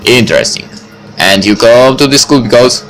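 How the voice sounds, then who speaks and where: A man's voice: medium pitch, animated, moderate pace, fairly bright tone, faint music behind, rough recording. A man voicing a cynical, probing teenage schoolboy in a visual novel. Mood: curious. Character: cynical, probing teenage boy who smokes. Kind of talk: visual novel character read-aloud.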